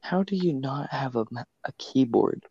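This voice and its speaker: A man's voice: medium pitch, lively intonation, moderate pace, creaky, dark tone, breathy edge, dry recording, lo-fi sound. A man co-hosting a casual podcast over voice chat, teasing his friends dryly and offhand. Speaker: podcast co-host. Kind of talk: casual banter while gaming.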